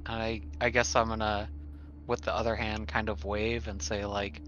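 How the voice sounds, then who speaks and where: A man playing a tabletop role-playing game, speaking casually in a hesitant, halting way. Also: fairly low pitch, level tone, a bit bright, dull audio, slight background noise. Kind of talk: casual improvised role-play.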